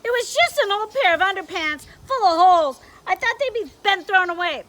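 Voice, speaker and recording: A woman's voice: extremely high pitch, lively intonation, fairly quick, a bit bright, pitched above the performer's own voice. A woman voicing a naughty little male lizard, sheepish and defensive, from a children's storybook. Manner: defensive, innocent-sounding.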